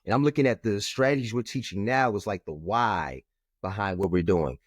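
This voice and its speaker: A man's voice: normal speed, no room sound, studio quality. A man, a math educator talking firmly and emphatically in an interview. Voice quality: low voice. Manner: firm, emphatic, repeats "we gotta". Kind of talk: conversational interview.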